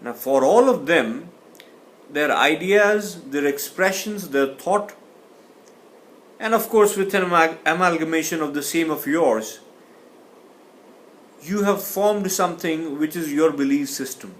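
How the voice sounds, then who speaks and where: A man's voice: a little high, expressive, measured pace, long pauses, fairly bright tone. A man teaching a communication class, speaking thoughtfully and often restarting or repeating words. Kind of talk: explanatory lecture.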